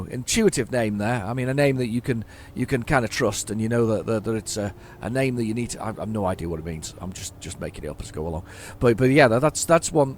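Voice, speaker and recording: Hoarse man rambling over gameplay. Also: medium pitch. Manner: rambling, stammering repeats, chatting to himself. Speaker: gaming YouTuber. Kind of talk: casual gameplay commentary.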